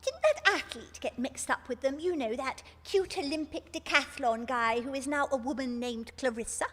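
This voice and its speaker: A woman's voice: high-pitched, expressive, moderate pace, a bit bright. A woman playing a naive, genteel older hostess of a retirement community in a live radio comedy. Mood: naive, puzzled. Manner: gushing, genteel. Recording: reverberant hall stage recording.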